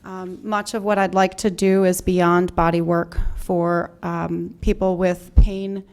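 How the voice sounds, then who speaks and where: A woman's voice: on the low side, flat delivery, takes it slowly, a little mellow, clean tone. A woman applying for a business license, making an earnest statement to a village board with frequent fillers. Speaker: business applicant. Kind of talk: public statement. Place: village board meeting room.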